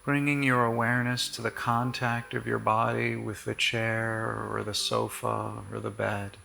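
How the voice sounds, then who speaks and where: Creaky-voiced man guiding a slow meditation. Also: fairly low pitch, level tone. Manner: gentle, measured, soothing delivery in short phrases. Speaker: meditation guide. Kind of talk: slow, pausing guided body-scan meditation.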